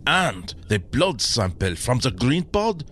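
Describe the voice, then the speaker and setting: An actor playing a grave, learned French professor with a French accent in an audio drama. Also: male voice, medium pitch, very animated, a bit bright, slight background noise. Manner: slow, measured, grave.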